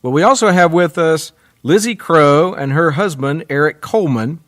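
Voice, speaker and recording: A man hosting a podcast round table, introducing guests and putting questions to them. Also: fairly high pitch.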